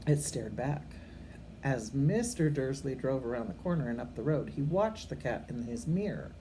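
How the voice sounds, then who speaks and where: A woman's voice: very deep voice, normal speed. An older woman reading a storybook aloud to children like a grandmother, expressively and with a homely, unpolished delivery. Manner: expressive, occasionally stumbling over words and correcting herself. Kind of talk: read-aloud storytelling with informal asides to children. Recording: amateur recording with faint background noise.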